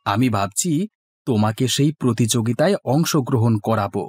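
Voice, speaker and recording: A man's voice: medium pitch, animated, moderate pace, a little mellow, breathy edge, dry recording. Man acting a theatrical, scheming village husband. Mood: coaxing. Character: village milkman husband, eager, flattering and scheming. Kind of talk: Bengali cartoon dialogue. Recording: music bed behind the voice.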